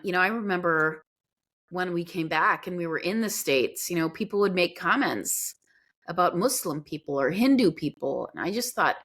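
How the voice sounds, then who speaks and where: Low-voiced woman telling stories expressively. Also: normal speed, slightly rough. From grew up in Guyana and Pakistan. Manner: expressive storytelling, acts out quoted reactions. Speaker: podcast guest. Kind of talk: casual conversational interview.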